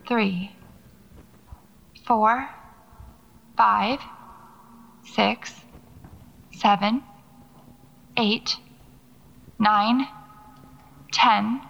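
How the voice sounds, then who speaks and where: A woman's voice: on the high side, creaky, fairly bright tone, full character voice. An actress playing a nervous, wry horror writer who records her eerie explorations on a lo-fi tape, muttering to herself in an audio drama. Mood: tense, counting. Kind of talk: audio fiction acting, recorded field diary. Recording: dull lo-fi cassette tape sound.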